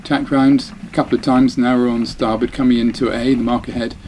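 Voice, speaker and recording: A man talks over footage of his own sailing race in a chatty, self-deprecating way, often breaking off mid-phrase and starting again. His voice sits at an ordinary middle pitch. Faint boat and water noise runs underneath. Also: level tone, fairly quick, a little mellow. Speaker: dinghy racing sailor.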